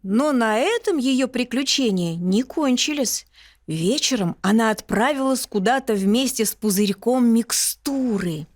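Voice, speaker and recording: High-voiced woman narrating a bedtime fairy tale. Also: a little slow. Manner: expressive, articulate storytelling. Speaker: storyteller.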